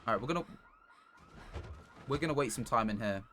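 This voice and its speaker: A man's voice: medium pitch, animated, fairly quick, pushed and bright, a little hiss or hum. A man livestreaming a video game, casually talking through his plans to viewers and swearing now and then. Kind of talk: casual live game commentary.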